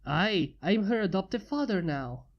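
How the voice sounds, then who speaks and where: A fanfiction reader voicing a blunt, gruff inventor who has become a father. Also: mid-range voice, animated, measured pace, dull audio.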